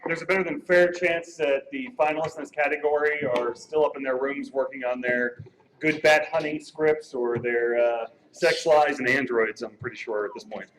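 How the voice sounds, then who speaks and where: A man's voice: mid-range voice, steady tone, normal speed, a bit bright, a little hiss or hum, poor audio. A man presenting an award live with joking, casual banter.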